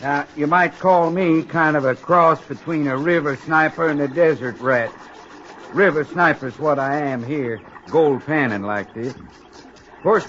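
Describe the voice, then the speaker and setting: An actor playing a friendly American gold prospector in a 1950s radio western, with a folksy, rustic frontier drawl. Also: male voice, a little high, fairly bright tone. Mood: easygoing. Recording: dull, noisy old radio recording.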